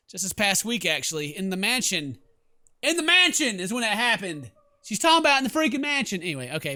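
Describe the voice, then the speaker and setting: A man's voice: high-pitched, sharp tone, no room sound, clean studio sound. A man doing playful let's play commentary, reading game text aloud with exaggerated reactions. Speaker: gaming video host.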